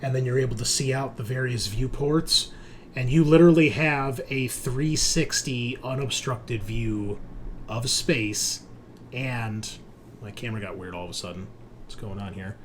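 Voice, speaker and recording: A man working as game master, narrating a tabletop roleplaying game in a halting, conversational way. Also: mid-range voice, slight background noise.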